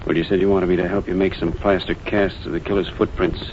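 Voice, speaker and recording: An actor voices a plain-spoken highway patrolman with a low, casual voice. The recording is muffled like an old 1940s broadcast, with a faint hiss beneath it. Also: little pitch movement, quick pace, close to an everyday voice. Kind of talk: 1940s radio acting.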